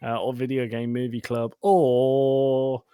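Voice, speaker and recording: A man hosts a casual, comedic film podcast. He talks in a mid-pitched voice, teasing and swearing freely, recorded dry and close with very little room sound. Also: little pitch movement, takes it slowly, dark tone, clean tone, dry recording. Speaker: podcast host. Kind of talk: casual comedic chat about films.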